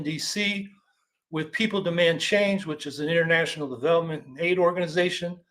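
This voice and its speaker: A man's voice: a little high, fairly bright tone. A man hosting a talk show, reading a prepared guest introduction with occasional fillers. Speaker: host.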